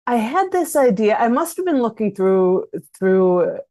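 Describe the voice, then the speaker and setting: A woman being interviewed as an author, chatting casually in a halting way, interrupting herself as she thinks aloud. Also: on the high side, very animated, dark tone.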